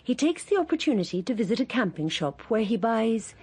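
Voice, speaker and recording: A woman narrating a radio drama in a measured, gentle voice. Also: fairly high pitch, big pitch swings, husky. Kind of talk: scripted narration.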